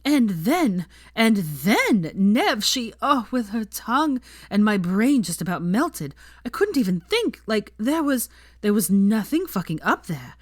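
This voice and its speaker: A woman's voice: mid-range voice, very animated, a little slow, dry recording, studio quality, pitched above the performer's own voice. A woman voicing a brash, theatrical, foul-mouthed young woman in an audiobook story, gushing and emphatic. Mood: gushing, lovestruck. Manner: gushing, casual, emphatic, swearing. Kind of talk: fan fiction audiobook character voice.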